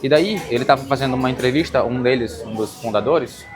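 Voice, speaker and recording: A man's voice: mid-range voice, fast. A man with a Brazilian accent talking casually as an interviewer, explaining ideas and often checking that he is understood. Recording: music playing behind the voice. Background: music.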